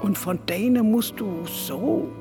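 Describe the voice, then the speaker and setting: A woman's voice: medium pitch, animated, slow pace, music in the background. An actress playing a homely, nudging mother with a Hessian accent in a German radio drama. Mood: coaxing.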